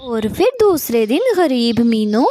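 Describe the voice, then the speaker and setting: A woman's voice: on the high side, very animated, takes it slowly, warm tone, slight background noise. A woman narrating a scripted cartoon story as its storyteller.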